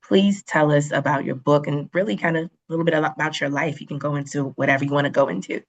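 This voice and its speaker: A podcast host asking questions in a warm, encouraging, casual way. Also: mid-range voice, fairly even intonation, fast. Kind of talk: casual interviewing.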